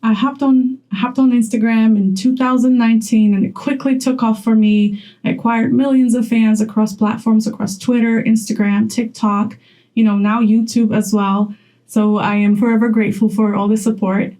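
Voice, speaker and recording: A woman's voice: fairly high pitch, flat delivery, normal speed, dark tone. A young woman from Poland talking straight to camera in a chatty vlog, laughing often as she gives advice. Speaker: content creator.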